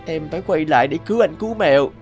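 A man voicing a small, meek young male crow in an animated fable, speaking in a pleading, humble way. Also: on the high side, rapid-fire, faint music behind. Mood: remorseful.

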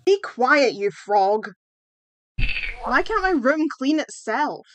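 Woman voicing a slow, high-voiced haughty teen girl. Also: sing-song, slight background noise, heavily acted. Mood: annoyed. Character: haughty, self-satisfied teenage girl with magic willpower. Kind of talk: fan comic dub acting.

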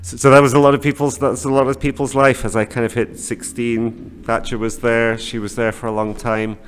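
A man's voice: mid-range voice, level tone, brisk, slight background noise. A man in his forties from Scotland, raised in northern England, answering interview questions with many fillers and restarts. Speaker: guest, teacher trainer. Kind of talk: casual interview. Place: small classroom office. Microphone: studio mic on desk stand.

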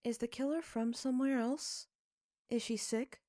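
A woman voicing an innocent, curious young girl with a flat, childlike manner in a story reading. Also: fairly high pitch, moderate pace, no echo, clean studio sound, pitched above the performer's own voice, close to an everyday voice. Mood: earnest, puzzled. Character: innocent, curious, inexpressive young girl, not quite human.

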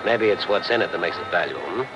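An actor playing an inquisitive, dryly persistent middle-aged newspaper reporter in a 1950s television crime drama. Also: male voice, low voice, lively intonation, fast, dull audio. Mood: dry, probing. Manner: questioning, dry, probing. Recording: noisy old 1950s film soundtrack.

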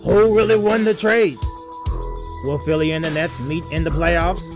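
A man voicing a parody cartoon announcer, narrating in a booming, drawn-out, melodramatic way. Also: music in the background, lightly acted.

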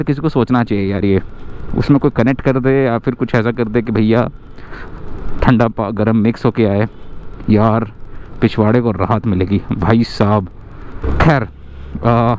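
A man's voice: medium pitch, a little mellow, highs cut off, a little hiss or hum. A young man chatting casually while riding a scooter on hill roads, mixing Hindi and English and grumbling with jokes. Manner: chatty, mixes Hindi and English, jokey complaints. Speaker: motovlogger.

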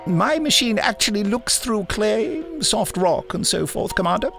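High-pitched smug professor. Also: male voice, expressive, a little slow, gravelly, fairly bright tone, faint music behind, exaggerated voice. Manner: self-satisfied, sly. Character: self-satisfied, smug male professor inventor. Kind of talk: audiobook character acting.

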